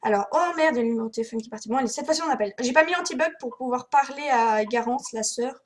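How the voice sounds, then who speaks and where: High-pitched rambling young girl. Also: quick pace. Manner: rambling, hesitant, repetitive. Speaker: prank caller, video host. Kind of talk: casual chat and improvised prank phone call.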